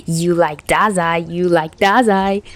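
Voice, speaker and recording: A woman voicing a cocky, teasing teenage schoolboy in an audio story, loud and animated. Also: fairly low pitch, takes it slowly. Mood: gleeful teasing.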